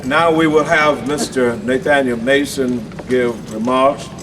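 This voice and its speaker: A man's voice: mid-range voice, steady tone, measured pace, echoey room, background noise. A man emceeing a community meeting, introducing speakers and firmly calling the crowd to order. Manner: firm, calls for order over a crowd. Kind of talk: public meeting at an outdoor rally. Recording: distant mic with loud crowd.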